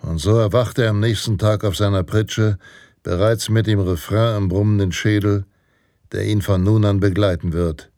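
An actor playing a solemn western storyteller, narrating in a deliberate, portentous manner. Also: male voice, lowish voice, fairly even intonation, darkish tone, no echo, studio quality, close to an everyday voice. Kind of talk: dramatic scripted western narration. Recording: guitar music behind. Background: plucked guitar music.

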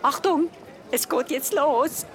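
An actress playing an excitable middle-aged aunt with a Swiss German accent, chatty and gushing with enthusiasm in a radio drama. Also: female voice, sits high, slow. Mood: excited anticipation.

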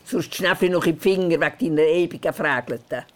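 An older woman with a Swiss German accent playing a gruff, teasing village grandmother in a radio play, curt and matter-of-fact. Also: deep voice, a little fast, lightly acted. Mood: impatient.

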